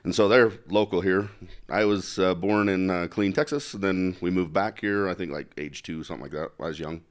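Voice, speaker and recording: A man from Utah with a very low, deep voice speaks plainly and bluntly. He is middle-aged and loosens his points with fillers and restarts, as an interview guest. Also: a little fast. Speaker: congressional candidate. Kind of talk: casual interview talk.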